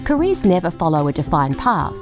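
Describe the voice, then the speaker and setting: A woman's voice: lowish voice, very animated, a little fast. A woman reading a polished scripted podcast introduction as announcer. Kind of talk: scripted show intro narration. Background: music bed.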